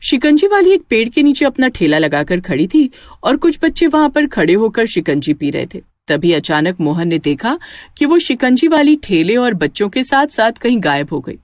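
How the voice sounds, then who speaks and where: Lively woman narrating a story. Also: medium pitch, no echo. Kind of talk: scripted dramatic story narration.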